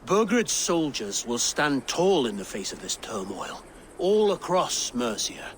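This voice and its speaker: A solemn middle-aged king from a video game, formal and weary. He speaks slowly, with a pitch that rises and falls freely. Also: sing-song, a little hiss or hum. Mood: solemn, resolute. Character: grateful newly crowned middle-aged king, weary father. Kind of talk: video game cutscene acting.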